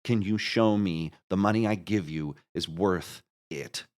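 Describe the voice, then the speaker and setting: A man with a fairly low voice talks as a guest in a casual interview. He rambles and halts, often breaking off and starting his phrases again. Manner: rambling, halting, self-interrupting. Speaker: interview guest, marketer and musical creator.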